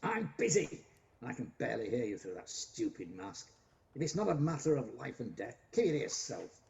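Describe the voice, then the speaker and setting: A man's voice: medium pitch, sing-song, normal speed, gravelly, darkish tone, lo-fi sound. An actor playing a grumpy, miserly old businessman in a radio play, curt and scornful. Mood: curt, scolding. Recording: home recording with background noise.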